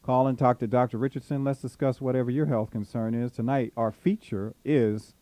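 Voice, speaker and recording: A man co-hosts a call-in radio show and repeats the number in a promotional way. His tone is warm and mellow, mid-pitched. The studio sound is dry and close. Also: steady tone, fairly quick, no room sound. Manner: repetitive, promotional call-to-action delivery. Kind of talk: radio announcing.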